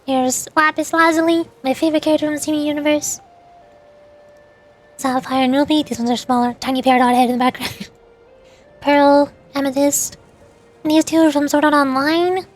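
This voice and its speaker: Young female streamer, high voice, loose and chatty, faint music underneath. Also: steady tone, moderate pace, pauses often. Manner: chatty, rambling, reads chat aloud. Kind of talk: casual chat with viewers.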